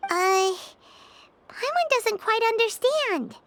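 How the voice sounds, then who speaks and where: Squeaky, very high-pitched little fairy. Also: female voice, lively intonation, takes it slowly, noisy background, heavily acted. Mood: puzzled. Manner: speaks of herself in third person, puzzled and eager. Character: Paimon, small childlike floating fairy companion, earnest and chatty. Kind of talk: video game character acting. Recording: game music behind the voice.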